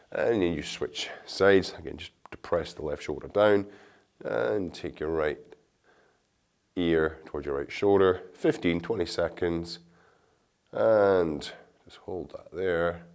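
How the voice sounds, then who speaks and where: A man's voice: low-pitched, measured pace. A man with a slightly nasal, congested voice from a cold, giving casual, reassuring step-by-step instructions as an exercise instructor in a video. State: blocked nose from a cold.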